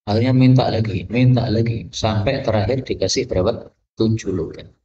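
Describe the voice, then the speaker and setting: A man's voice: on the low side, normal speed, dark tone. A male Islamic teacher giving a hadith lesson, reading the Arabic text phrase by phrase and explaining each part. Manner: reads an Arabic chain of narrators, then explains each phrase in Indonesian. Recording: echoey, lo-fi, from a distance.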